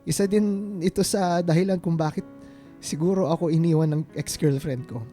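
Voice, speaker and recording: An actor playing a devoted, vulnerable man in a Filipino radio romance drama, speaking with a halting, earnest, emotional delivery and a Filipino accent. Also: on the high side, fairly even intonation, mellow, faint music behind, lightly acted. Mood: pained, confessing. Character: devoted, vulnerable man confessing love to his reunited sweetheart.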